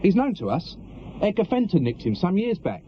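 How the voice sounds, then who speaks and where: Police constable, dutiful, deferential, radio drama, muffled, faint noise. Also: male voice, sits high, wildly swooping pitch, normal speed. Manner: respectful, factual reporting. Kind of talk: naturalistic radio drama acting. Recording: dull lo-fi radio recording, noisy.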